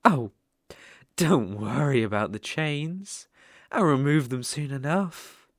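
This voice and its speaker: Performer acting as a sadistic demon ruler, British accent, haughty and mocking, unhurried, wildly swinging intonation, ASMR roleplay. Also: medium pitch, expressive, dry recording, studio quality. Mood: amused, menacing. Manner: slow, mocking, haughty, theatrical. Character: sadistic, possessive demon ruler toying with a human. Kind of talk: ASMR roleplay, scripted monologue.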